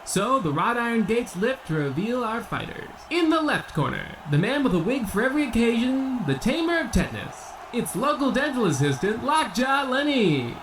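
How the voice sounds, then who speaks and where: A man's voice: extremely high pitch, very animated, moderate pace, hardly any pauses, noisy background. A man playing a grandiose boxing ring announcer obsessed with ancient Rome, in an old-time radio comedy style. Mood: grand, ceremonial. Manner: grandiose, theatrical showman delivery.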